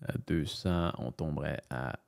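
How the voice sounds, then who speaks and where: A man with a very low, gravelly voice and a Canadian French accent talks casually, thinking aloud with frequent fillers and repeated starts. The sound is dry and close, with no room around it. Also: a little slow, dry recording. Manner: rambling, many fillers and restarts, thinking aloud. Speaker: voice-over narrator. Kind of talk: casual screen-share commentary.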